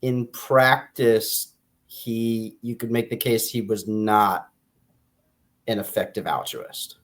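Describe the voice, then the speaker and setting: A man's voice: on the low side, steady tone, slow. An American man co-hosting a casual book discussion, hesitantly repeating and rephrasing his points as he goes. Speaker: podcast co-host.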